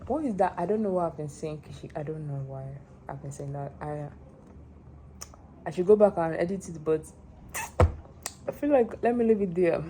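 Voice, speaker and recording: A woman with a Nigerian accent rambling casually in a personal vlog, excitable and playful, repeating words for emphasis, over a noisy recording. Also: lowish voice, moderate pace, pauses often. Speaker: solo animator and showrunner.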